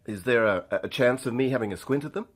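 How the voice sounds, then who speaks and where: A man's voice: mid-range voice, fast talker, dry recording, close to an everyday voice. An actor playing a cocky, restless young man in a radio drama, speaking in a colloquial, off-hand way. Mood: curious. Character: cocky, restless young male accountant at sea.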